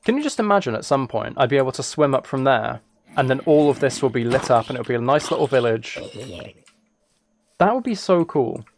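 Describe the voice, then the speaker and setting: A man with a mid-pitched voice chats casually while streaming a game. He rambles along in a playful, self-amused way. Keyboard clicks and noticeable background noise sit behind him. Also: lively intonation, a little fast. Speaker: live streamer. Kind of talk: casual chat with game commentary. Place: indoors at a computer.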